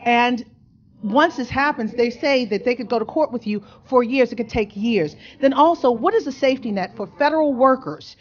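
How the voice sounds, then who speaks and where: A woman's voice: fairly high pitch, moderate pace, lo-fi sound. A woman reporter putting questions at a press conference.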